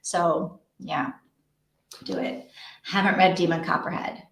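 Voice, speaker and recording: A woman's voice: lowish voice, fairly even intonation, slow pace, fairly bright tone. A woman hosting a casual livestream, chatting in a rambling way as she thinks aloud. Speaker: host of a live reading sprint stream. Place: indoor room with echo. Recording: echoey untreated room.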